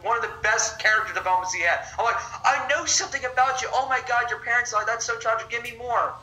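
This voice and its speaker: Male co-host, bright voice, lively and crude, mocks with impressions. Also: very high voice, quick pace, rough recording. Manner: animated, profane, mocking impressions. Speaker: podcast co-host. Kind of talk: casual movie chat.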